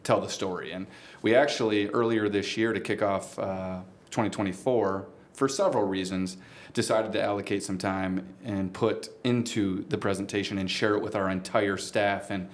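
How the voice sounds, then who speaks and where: A man with a fairly low voice hosts a podcast conversation. He talks in long, measured phrases and pauses deliberately as he goes. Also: steady tone. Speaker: podcast co-host and golf business co-founder.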